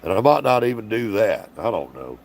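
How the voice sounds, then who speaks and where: A man's voice: fairly low pitch, big pitch swings, brisk, vocal fry, noisy background. A man hosting a casual livestream Q&A, folksy and rambling, reading out viewer comments in a tired, strained voice. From Alabama, US. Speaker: livestream host.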